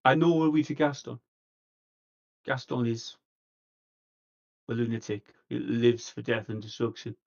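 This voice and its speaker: Man as earnest vampire envoy in tabletop play: creaky mid-pitched voice, measured and persuasive, dull lo-fi livestream sound. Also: a little mellow. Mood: frank. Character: earnest, diplomatic vampire envoy seeking a truce. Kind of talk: in-character tabletop roleplay dialogue.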